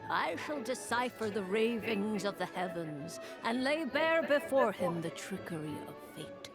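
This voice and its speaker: A woman playing a grand, aloof seer witch in a video game, formal and deliberate in her archaic speech. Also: medium pitch, animated, over music, natural voice. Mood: grand, weary.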